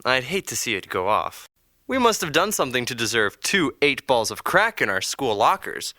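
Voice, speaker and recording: A man's voice: medium pitch, big pitch swings, moderate pace, sharp tone, no room sound. An actor playing a devout, defiant young male captive in an audio drama, speaking with dry control.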